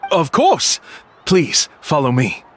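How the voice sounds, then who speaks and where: Smooth-talking tour guide, lively pitch. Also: male voice, takes it slowly, faint music behind, heavily acted. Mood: gracious, assuring. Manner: polished, formal, persuasive, salesmanlike. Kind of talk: video game voice acting.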